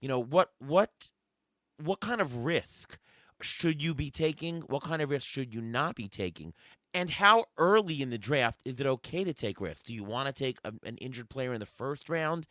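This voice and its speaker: A hoarse man hosting a sports podcast, firing off questions and thinking aloud in casual chat. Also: medium pitch, lively intonation, moderate pace, pushed and bright, no room sound, clean studio sound. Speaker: podcast host.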